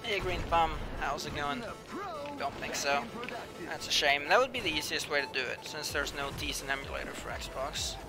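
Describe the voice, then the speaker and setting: Young male gamer, slightly high, a bit whiny, chatty and mumbly, music running underneath. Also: moderate pace. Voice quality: says he has a whiny voice. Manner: chatty, mumbles asides to himself while playing. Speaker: game streamer. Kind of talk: casual live-stream commentary, reading chat. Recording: clear music playing behind. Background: background music.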